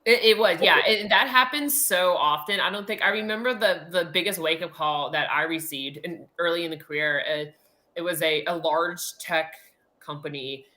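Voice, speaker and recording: A woman's voice: on the low side, moderate pace, pushed and bright. A middle-aged American woman telling anecdotes casually as a podcast guest, with an emphatic way of talking. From United States.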